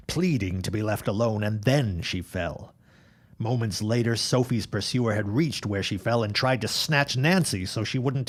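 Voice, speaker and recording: A protective father and loyal neighbor, played by a man in a period audio drama. His voice sits low, and he comes across as resolute, a man set on guarding his own and warning his friend.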